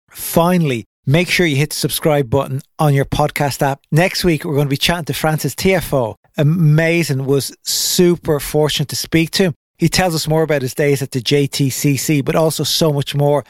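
A man's voice: a little high, steady tone, brisk, hardly any pauses, no echo, clean studio sound. A man hosting a tennis podcast, delivering an upbeat, chatty intro and sponsor reads before interviewing his guest. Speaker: podcast host.

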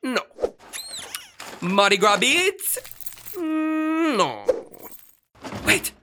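An actor playing a flamboyant, fussy, excitable radio star with emphatic, theatrical delivery in a children's audio drama. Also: medium pitch, very slow, background noise. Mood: breezy, delighted.

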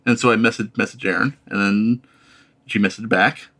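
A man, telling things casually and haltingly, repeating words as he goes. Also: fairly low pitch, fairly even intonation, fairly bright tone. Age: late 30s. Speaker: podcast guest. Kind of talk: casual chat.

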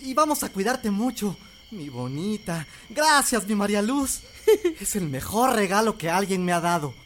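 A woman with a Mexican accent playing a doting, excitable young village husband in an audio drama, affectionate and emotional. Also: mid-range voice, normal speed, a little hiss or hum, lightly acted. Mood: tender.

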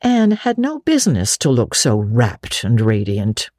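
A woman's voice: rumbling low, wildly swooping pitch, a little slow, darkish tone, no echo, clean studio sound. A woman narrating classic fiction as a dramatic audiobook reading.

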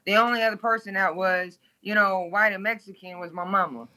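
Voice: mid-range voice, fairly quick, sharp tone. A guest from Toledo, Ohio, speaking bluntly and candidly in an interview, swearing freely.

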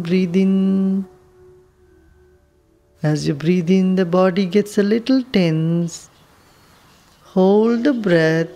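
A woman leading a guided meditation with soothing, unhurried instructions and long pauses. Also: fairly low pitch, mellow.

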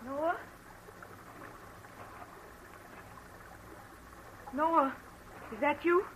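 A woman's voice: high-pitched, slow pace. A woman playing an anxious, secretive young woman in an old radio drama, with hesitant, questioning delivery. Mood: anxious, searching. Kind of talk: 1940s radio drama acting. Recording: noisy old-time radio recording.

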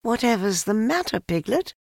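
A woman voicing a kind, calm young boy in a storybook, with a gentle, reassuring manner. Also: fairly high pitch, moderate pace, vocal fry, no echo, studio quality, pitched above the performer's own voice, heavily acted. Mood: puzzled. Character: kind, calm young boy, fond of his animal friends.

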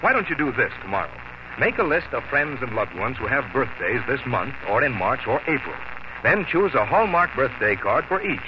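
A man's voice: medium pitch, sing-song, normal speed, background noise. A man announcing on 1950s radio, reading scripted advertising and credits with polished emphasis. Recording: muffled 1950 radio broadcast with music.

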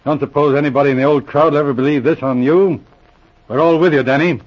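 An actor playing a brisk, gruffly good-humored veteran police officer in a 1940s radio drama. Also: male voice, on the high side, steady tone, lightly acted. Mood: bracing, encouraging. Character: brisk, dry, loyal veteran police sergeant and inspector. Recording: muffled old radio recording.

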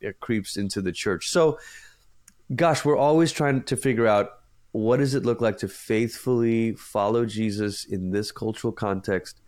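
A man from Malaysia, a pastor, giving a long thoughtful interview answer and pausing to search for words. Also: on the low side, a little mellow.

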